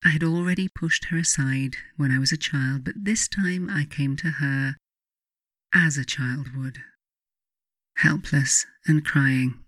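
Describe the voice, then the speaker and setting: A woman reading her own reflective self-help writing as scripted narration, in measured, deliberate phrases with frequent pauses. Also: low-pitched, fairly bright tone, dry recording. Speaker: author reading own book, guided meditation narrator. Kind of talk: scripted audiobook narration, reflective guided meditation.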